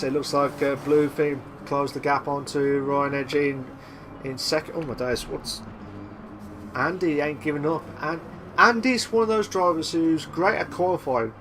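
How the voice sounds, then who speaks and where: A young man doing live sim racing commentary, excitable and repetitive, with a voice he says he is losing. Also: a little high, background noise. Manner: excitable, repeats himself, shouts at big moves. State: losing his voice.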